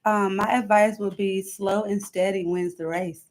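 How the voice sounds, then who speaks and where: A woman's voice: mid-range voice, steady tone, unhurried, a little mellow, low-quality recording. A woman of thirty-two talking casually as an interview guest, emphatic and often repeating her phrases to drive them home. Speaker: interview guest, hair stylist.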